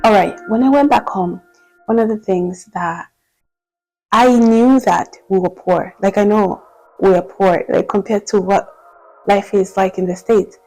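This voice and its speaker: A woman's voice: mid-range voice, steady tone, measured pace, a little mellow, soft background music, low-quality recording. A woman in her twenties, from the Democratic Republic of Congo, talking candidly and reflectively in a personal vlog, with lots of "like" and restarts. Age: 20s. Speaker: vlogger.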